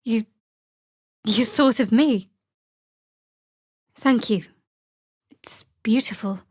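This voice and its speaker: A woman playing a guarded, sardonic young female cleric of a dark goddess in a video game, speaking in a measured, wry way. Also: on the high side, husky, no echo, studio quality, heavily acted. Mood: soft, tender.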